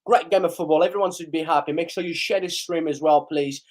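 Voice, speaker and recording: A young man hosting a live football reaction stream, excitable and hoarse from losing his voice, urging viewers to like and share. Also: on the high side, quick pace.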